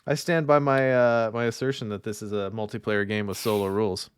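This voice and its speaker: A man hosting a podcast in casual chat, animated and playfully opinionated. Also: on the low side, fairly quick, clear, resonant tone. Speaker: podcast host.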